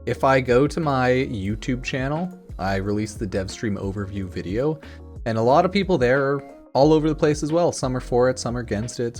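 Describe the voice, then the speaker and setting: A man's voice: medium pitch, a little mellow. A man giving casual, rambling commentary as a gaming YouTuber, informal and noncommittal, with some mild swearing. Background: music in the background.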